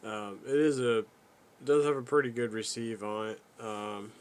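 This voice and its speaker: A man giving a casual, unscripted product walkthrough as a video host, talking in a halting, low-key, rambling way. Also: clean tone. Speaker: radio reviewer, video host.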